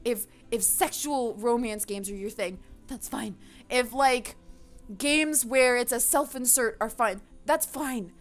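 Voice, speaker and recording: Impassioned woman with a high-pitched voice. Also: slow, faint music behind. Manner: passionate, emphatic, swears casually. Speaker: gaming video creator. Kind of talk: casual opinionated rant to viewers.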